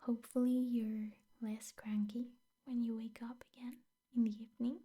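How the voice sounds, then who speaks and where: A young woman playing a possessive, sweetly menacing teacher girlfriend in an ASMR roleplay, speaking softly, intimately and often in whispers. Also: a little high, level tone. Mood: sweetly controlling. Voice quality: soft, breathy, often whispered. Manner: slow, intimate, coaxing with veiled threats.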